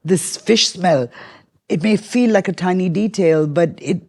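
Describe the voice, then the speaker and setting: A woman's voice: fairly low pitch, a little slow, darkish tone. A woman co-hosting a podcast who adds conversational commentary between interview clips. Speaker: podcast co-host. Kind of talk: conversational narration.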